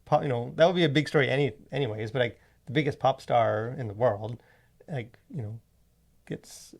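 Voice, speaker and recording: A male podcast host chats casually in a medium-pitched voice with noticeable vocal fry. His delivery rambles and stops and starts, padded with plenty of filler words as he works through each thought. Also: normal speed.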